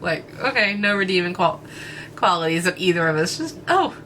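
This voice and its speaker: A woman with a thick East Texas accent, casually answering fan questions for her channel, relaxed and hesitant with lots of fillers. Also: fairly low pitch, normal speed, slight background noise. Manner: relaxed, hesitant, many fillers and small laughs. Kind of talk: casual Q&A chat.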